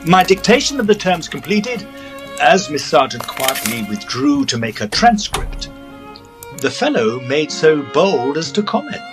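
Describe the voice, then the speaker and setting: High-ish, animated gentleman. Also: a little slow, over music. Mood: narrating. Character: fussy, polite Edwardian gentleman ghost-hunter, prickly and proper. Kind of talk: first-person period storytelling and audio drama.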